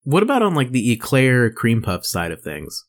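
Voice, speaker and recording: A man's voice: medium pitch, huge pitch swings, moderate pace. A man co-hosting a podcast, talking casually in a laid-back, slangy way. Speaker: podcast co-host. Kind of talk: casual banter, with some reading aloud.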